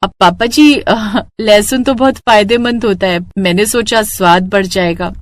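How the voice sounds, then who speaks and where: A woman's voice: medium pitch, measured pace, dry recording, clean studio sound, lightly acted. A woman voicing a stubborn, insistent young bride in a cartoon story. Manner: emphatic, insistent.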